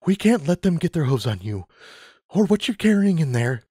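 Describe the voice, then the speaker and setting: A man's voice: on the high side, very animated, moderate pace, dark tone, breathy edge, dry recording, studio quality, voice pushed up. A man voicing a firm, loving pony mother who is tearful.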